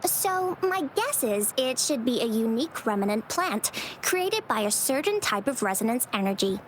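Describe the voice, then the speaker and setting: A woman's voice: a little high, sing-song, moderate pace, a little hiss or hum. A woman voicing a shy, studious young botanist in a video game, explaining precisely and modestly. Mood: absorbed, informative.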